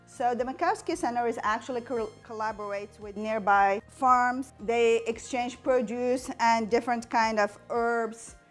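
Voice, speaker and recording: A woman's voice: fairly high pitch, measured pace, hardly any pauses, faint music behind. Woman from Morocco teaching a cooking show. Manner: friendly step-by-step explaining while cooking. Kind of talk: cooking demonstration. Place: home kitchen, indoors. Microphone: lapel mic.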